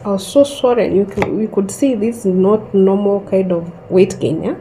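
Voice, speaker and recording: A woman in her thirties slowly telling a personal story in a calm, reflective way, pausing often. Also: mid-range voice, soft background music, low-quality recording. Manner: slow and reflective, with long pauses. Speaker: host sharing a personal story.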